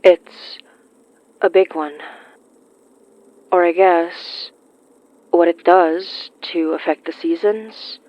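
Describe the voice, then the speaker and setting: A woman's voice: fairly low pitch, fairly even intonation, slow, pauses often, dry recording, lo-fi sound. An actress playing an earnest, troubled young woman, speaking hesitantly and thoughtfully in a naturalistic audio drama. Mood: pensive.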